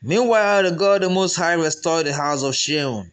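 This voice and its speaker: A man's voice: on the high side, normal speed, a bit bright, clear, resonant tone. A man with a Nigerian accent narrating a folktale in a dramatic storytelling style.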